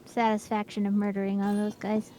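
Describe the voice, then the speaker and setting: A woman's voice: mid-range voice, completely flat delivery, brisk, dark tone. A woman co-hosting a gaming stream, chatting casually as she plays. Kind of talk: casual chat while playing. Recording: clear game ambience noise behind. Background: game ambience.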